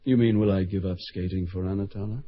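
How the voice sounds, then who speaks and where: An earnest, slightly nervous young man speaks in a low, husky voice. He sounds sincere and plainspoken, in the style of a radio drama. The recording is dull and lo-fi. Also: steady tone, fast talker. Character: young adult male suitor, earnest and nervous. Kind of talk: 1970s radio drama acting.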